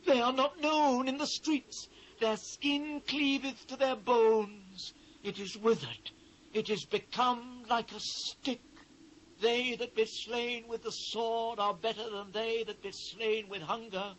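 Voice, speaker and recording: A man's voice: extremely high pitch, fairly even intonation, slow pace, a bit bright, full character voice, low-quality recording. A British man playing an anguished biblical prophet in a dramatised scripture reading, solemn, declamatory and impassioned. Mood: mournful. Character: anguished male biblical prophet lamenting his ruined people. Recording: faint noise, old cassette transfer.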